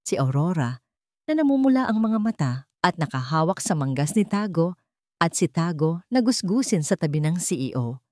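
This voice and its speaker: A woman narrating a scripted romance story in a dramatic, measured way. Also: on the low side, sing-song, darkish tone, dry recording, clean studio sound.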